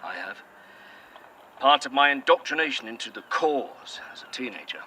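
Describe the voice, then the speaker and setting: Dude voicing a grumpy sweary brit, bright sharp tone that swings around a lot, faint outdoor noise. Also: male voice, mid-range voice, expressive, moderate pace. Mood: dry sardonic. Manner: curt, sarcastic, heavy swearing. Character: bitter, sweary, sardonic man, weary. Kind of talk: audio drama acting.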